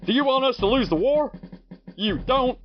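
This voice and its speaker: A man's voice: extremely high pitch, lively intonation, moderate pace. Zealous patriotic newsman, old-time radio drama, emphatic, dull dry audio, faint music. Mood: urgent, rousing. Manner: theatrical, emphatic. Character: zealous patriotic wartime radio news announcer.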